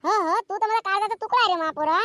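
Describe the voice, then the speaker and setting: A performer voicing an indulgent, quarrelsome village mother in a comedic cartoon, sharp-tongued, with a rural Maharashtrian Marathi accent. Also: extremely high pitch, very fast, faint music behind. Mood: doting. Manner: scolding husband, coddling son. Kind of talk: comedic cartoon skit dialogue.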